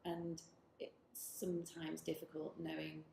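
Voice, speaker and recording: Gravelly-voiced woman, earnest and thoughtful. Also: low voice, monotone, unhurried, mellow, roomy sound, slight background noise. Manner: thoughtful, earnest, speaks in long considered sentences. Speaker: technology director. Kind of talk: casual workplace conversation.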